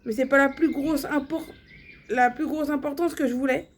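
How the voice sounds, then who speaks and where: A woman's voice: high-pitched, steady tone, moderate pace. A young woman talking casually and bluntly to her viewers, with many fillers and restarts. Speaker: vlogger. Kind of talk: casual personal vlog monologue.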